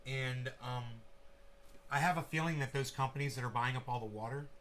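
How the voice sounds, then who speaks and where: A man's voice: medium pitch, a little fast, fairly bright tone, slight background noise. A man hosting a casual livestream, rambling and swearing freely while making sound effects with his mouth. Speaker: channel host.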